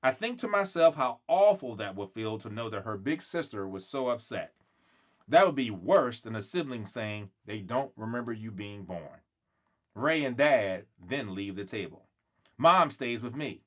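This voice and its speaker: A man's voice: mid-range voice, very animated, moderate pace, fairly bright tone. A man reading a children's book aloud expressively, acting out the dialogue and stretching words for emphasis. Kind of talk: expressive read-aloud storytelling.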